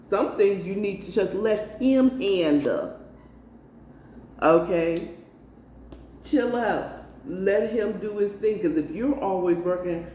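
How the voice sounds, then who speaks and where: A woman's voice: fairly low pitch, warm tone. An American woman giving a casual spiritual card reading, coaxing emphatically and repeating herself with frequent check-in tags. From United States. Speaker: tarot card reader. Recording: roomy, distant mic with faint noise.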